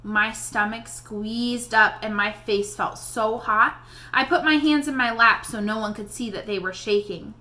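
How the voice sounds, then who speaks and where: A woman reading a children's novel aloud in an expressive storybook style. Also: a little high, unhurried, a little hiss or hum. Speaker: read-aloud reader addressing young listeners.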